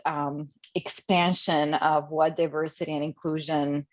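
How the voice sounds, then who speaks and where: Low-voiced woman from Croatia on a video call. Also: level tone, unhurried. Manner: thoughtful, hedging with fillers. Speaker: webinar attendee. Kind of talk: casual question from the audience. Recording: muffled lo-fi video call audio.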